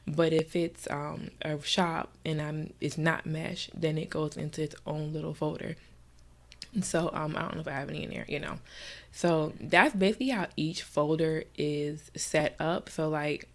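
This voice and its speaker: A woman talks in a low, gravelly voice that sounds stuffy and a bit nasal. She rambles casually with plenty of fillers and sometimes drops to a soft, breathy murmur, like a home tutorial voice-over. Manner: chatty, many fillers, soft at times. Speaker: gaming vlogger. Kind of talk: casual screen-recorded tutorial chat.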